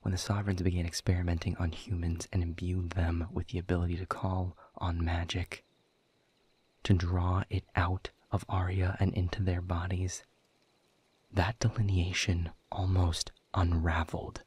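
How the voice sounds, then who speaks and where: Man voicing a very husky, bitter demon. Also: little pitch movement, a little mellow, no echo. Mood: grim, explanatory. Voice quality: husky, breathy low voice. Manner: sardonic, emphatic, deliberate phrasing. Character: bitter, world-weary male demon warning of doom. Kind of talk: scripted audio roleplay monologue.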